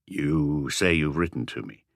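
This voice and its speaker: A man voicing a calm, weary police inspector in an audiobook, putting patient, measured questions. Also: vocal fry, no echo, clean studio sound, full character voice. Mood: probing. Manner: measured, low-key questioning. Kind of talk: audiobook character dialogue.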